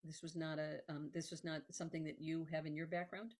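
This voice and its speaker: A woman hosting a conversational interview, often halting and repeating words as she thinks. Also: low-pitched, flat delivery, a little mellow. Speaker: host.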